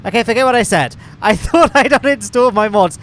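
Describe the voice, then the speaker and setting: A man's voice: high voice, expressive, brisk, fairly bright tone, a little hiss or hum. Nasal, congested English man rambling over gameplay. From England. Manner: rambling, self-deprecating, lots of fillers and restarts. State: congested from hay fever. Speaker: gaming channel host. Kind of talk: chatty gameplay commentary.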